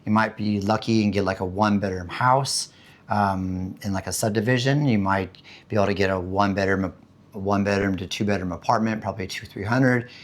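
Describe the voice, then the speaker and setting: A man from the United States with a hoarse voice after being sick, chatting casually and rambling about living costs, with many fillers and restarts. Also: on the low side, steady tone, fairly quick. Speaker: vlogger. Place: home in the Philippines.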